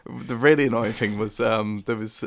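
A man's voice: on the low side, fairly even intonation, normal speed, vocal fry, a little hiss or hum. A man chatting casually as co-creator on a commentary, hesitant and self-deprecating. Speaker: puppet show co-creator giving audio commentary.

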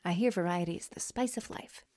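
A woman's voice: fairly low pitch, brisk, darkish tone, no room sound, clean studio sound, close to an everyday voice. An actress playing a guarded, bitter woman in an audio drama, speaking in a sarcastic, curt, profane way. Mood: sarcastic, reluctant. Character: Florence, guarded, bitter adult woman, wary school survivor. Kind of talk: naturalistic audio drama acting.

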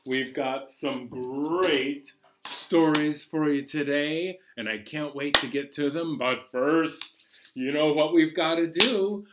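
Slow-paced man hosting children's storytime. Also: on the high side, background noise. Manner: animated, playful, addressing young children. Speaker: children's library storytime host. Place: small living room at home, indoors.

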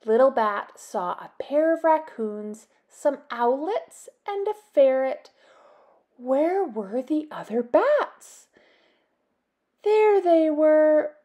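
A woman, reading a children's storybook aloud in an expressive, deliberate way. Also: high-pitched, muffled recording. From Canada. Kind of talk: animated storybook read-aloud.

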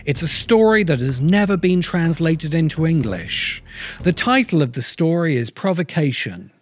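A middle-aged man delivering scripted documentary narration in a grave, deliberate storytelling manner with short, weighted phrases. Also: fairly high pitch, expressive, slight background noise. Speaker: host and narrator of a science fiction video essay channel. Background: music.

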